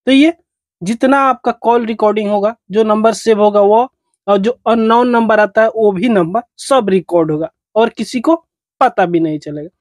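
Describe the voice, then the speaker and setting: A man's voice: sits high, moderate pace, no echo. A man narrates a step-by-step phone tutorial as its host, in repetitive, instructional phrasing.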